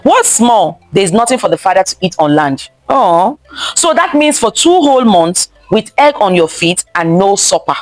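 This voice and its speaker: A woman's voice: medium pitch, sing-song, moderate pace, no echo, faint music behind. A woman reading a picture book aloud to children, expressively, repeating phrases and stopping to ask them questions. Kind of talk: interactive children's story time read-aloud.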